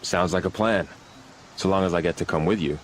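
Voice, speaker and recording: A man's voice: brisk, slight background noise, natural voice. A young man voicing an eager, loyal spear warrior in a game cutscene. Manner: eager, loyal, low-key. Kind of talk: video game cutscene acting.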